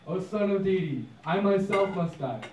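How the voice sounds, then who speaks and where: A man's voice: high-pitched, lively intonation, moderate pace, darkish tone. A man voicing a grave, patient, fatherly god in a puppet show, with solemn authority. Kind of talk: puppet show storytelling, theatrical. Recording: muffled, echoey, distant, some noise.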